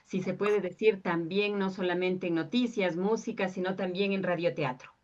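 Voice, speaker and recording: A woman, interviewing a guest in long, winding, measured questions. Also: fairly low pitch, steady tone. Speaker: radio interviewer. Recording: dull lo-fi sound.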